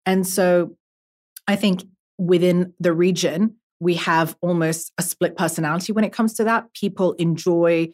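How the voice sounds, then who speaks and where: A woman's voice: fairly low pitch, fairly even intonation, a little slow, darkish tone. A young woman, a guest artist, talking thoughtfully on a podcast, with many fillers and restarts. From half Emirati. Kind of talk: podcast conversation.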